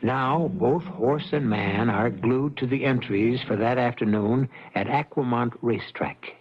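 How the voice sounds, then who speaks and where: A man's voice: medium pitch, a little slow, slightly rough. A man hosting an old-time radio drama, reading scripted narration with wry, literary, theatrical phrasing. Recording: dull vintage radio recording. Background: music.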